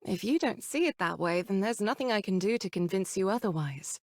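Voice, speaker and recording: An actress playing a composed, caring young woman who leads a squad in a video game, measured and gently reasoning. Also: medium pitch, expressive, no echo, studio quality, lightly acted. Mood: gentle, earnest.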